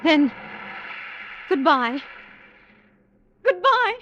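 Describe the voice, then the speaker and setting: A high female voice, slow and hesitant, as a lonely young woman in a classic radio drama. She is timid and wistful, with fear haunting her words. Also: very animated, a bit bright, highs cut off, a little hiss or hum, heavily acted. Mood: frightened. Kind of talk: old-time radio drama acting.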